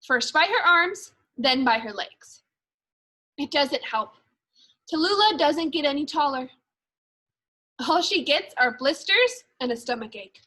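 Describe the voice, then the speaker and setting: A woman teacher reading a storybook aloud for young children, expressively and in measured phrases. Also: high voice, lively intonation, pushed and bright. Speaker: second grade teacher.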